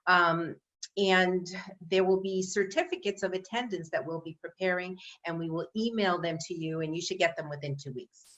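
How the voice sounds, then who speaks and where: A woman's voice: lowish voice, normal speed, a bit bright. A woman hosting an online training event, giving chatty announcements with many fillers and restarts. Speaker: event host. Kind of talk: casual online meeting announcements. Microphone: video call. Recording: dull video call audio.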